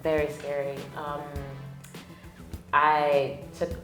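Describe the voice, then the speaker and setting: Guest: woman actor-producer, very low voice, animated storyteller, faint music underneath. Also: takes it slowly, low-quality recording. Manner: animated storyteller who acts out quoted lines. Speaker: actress and producer, interview guest. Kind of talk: casual interview chat.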